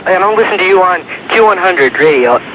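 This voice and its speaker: A man's voice: high voice, animated, fairly quick, background noise. A man from New Jersey calling a radio show to make a casual request. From New Jersey, United States. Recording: lo-fi radio call over loud music.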